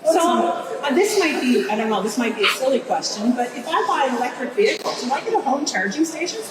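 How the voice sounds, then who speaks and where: A woman's voice: sits high, big pitch swings, fairly quick. A woman co-hosting a live event, reading viewer comments in a chatty, teasing way. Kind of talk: casual live chat. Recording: echoey room, far from mic, loud background noise.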